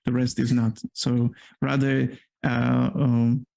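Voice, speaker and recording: Gravelly man. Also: medium pitch, level tone, takes it slowly, a little mellow. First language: Slovak. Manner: explains at length with many restarts. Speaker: podcast co-host. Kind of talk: casual chat.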